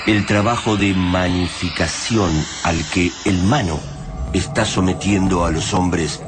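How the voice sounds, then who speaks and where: A man's voice: low voice, steady tone, measured pace. A man narrating a radio drama in a scripted, dramatic storytelling style. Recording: music behind the voice.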